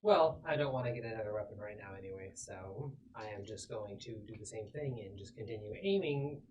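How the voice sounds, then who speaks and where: A man's voice: fairly low pitch, lively intonation, a little fast, a little mellow, slight background noise. A man chatting casually and a bit swearily as a player in a tabletop game. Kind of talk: casual chat.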